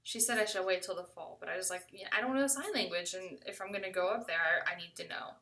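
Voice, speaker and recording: American woman, bright forward voice, conversational first-person storyteller, recorded a little away from the mic. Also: on the low side, fast, distant mic.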